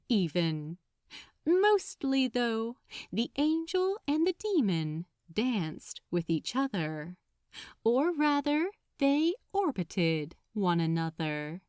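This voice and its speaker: A woman narrates fiction in a measured, expressive way. Her pitch rises and falls freely over a slow pace, in a close, dry recording. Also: dry recording. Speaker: audiobook-style fan fiction narrator. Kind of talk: scripted story narration.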